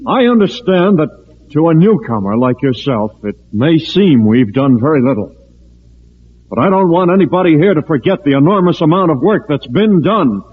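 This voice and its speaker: An actor playing a patient, orderly official who addresses a meeting formally and politely in an old radio drama. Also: male voice, on the high side, sing-song, moderate pace, husky. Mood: strained, placating. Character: orderly, patient male civil defense meeting director. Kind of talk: 1940s-50s radio drama acting. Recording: tinny, band-limited old radio recording.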